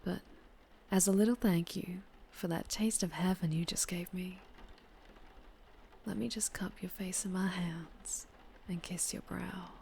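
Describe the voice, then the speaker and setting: A woman playing a flirtatious, protective vampire biker in an ASMR roleplay, with a Southern US accent and a soft, intimate delivery that slips into whispers. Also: lowish voice, fairly even intonation, a little slow, a little hiss or hum. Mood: hushed, seductive. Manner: soft, intimate, often dropping into breathy whispers.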